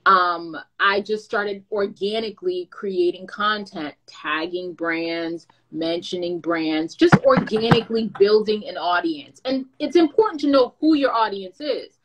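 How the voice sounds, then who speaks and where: A woman who is a content creator and brand manager, giving advice in a lively, chatty way with playful asides. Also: mid-range voice, a little slow, hardly any pauses, noisy background. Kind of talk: casual advice talk.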